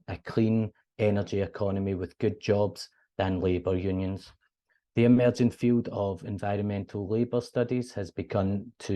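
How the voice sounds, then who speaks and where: A man's voice: lowish voice, warm tone. A young Scottish man, a PhD student, giving a friendly, earnest academic presentation over a video call. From Scotland. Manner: friendly, earnest, with casual fillers.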